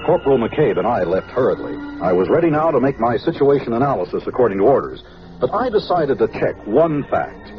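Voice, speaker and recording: An actor playing a dutiful, earnest army officer in an old-time radio drama, formal and measured. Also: male voice, medium pitch, sing-song, close to an everyday voice. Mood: measured, narrating. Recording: dull vintage radio recording with music.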